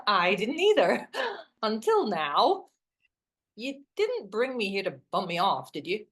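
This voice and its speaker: A woman's voice: medium pitch, huge pitch swings, takes it slowly, fairly bright tone, exaggerated voice. A woman acting in a naturalistic drama as a wry, self-deprecating best friend who sighs, hesitates and teases. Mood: teasing.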